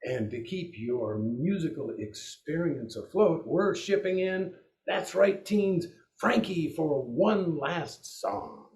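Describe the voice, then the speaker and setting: A man's voice: a little high, lively intonation, slow pace, a little mellow. A man co-hosting a radio variety show with theatrical, showmanlike scripted announcing in a 1940s style. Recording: echoing room.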